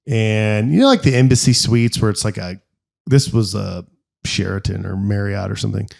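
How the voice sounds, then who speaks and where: A man's voice: on the low side, normal speed, vocal fry. A man co-hosting a podcast, telling a long story in casual chat with lots of fillers and restarts. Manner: rambling, filler-heavy, animated storyteller. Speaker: podcast co-host.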